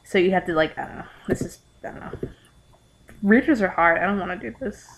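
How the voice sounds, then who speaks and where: A young adult woman reading aloud and commenting casually, in a halting, rambling way with exasperated asides. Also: fairly low pitch, vocal fry, slight background noise, low-quality recording. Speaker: amateur vlogger reading fan fiction aloud. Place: living room at home.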